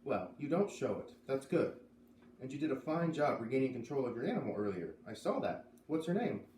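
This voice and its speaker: A man voicing a kindly, inquisitive livestock show judge in a storybook, questioning and reassuring in a measured way. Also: medium pitch, big pitch swings, a little mellow, lightly acted. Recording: roomy, distant mic, faint noise.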